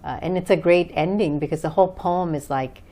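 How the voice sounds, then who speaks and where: A woman's voice: on the low side, fairly quick, mellow, a little hiss or hum. A woman from Bangladesh answering conversationally as an interview guest, hesitant as she searches for words. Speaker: interview guest, author and English teacher.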